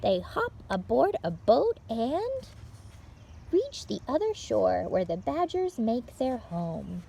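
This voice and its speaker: A woman hosting story time for young children, reading aloud in an animated, encouraging way with simple phrasing. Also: on the high side, slow pace, gravelly, husky.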